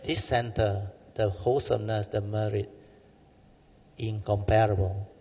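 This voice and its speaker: A man's voice: lowish voice, flat delivery, a little slow. Malaysian english guy preaching at a meditation center, keeps saying huh, sounds kinda dull and echoey in a big hall. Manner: colloquial, exhorting, tag questions like huh. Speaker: Buddhist teacher. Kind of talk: dharma talk to a group.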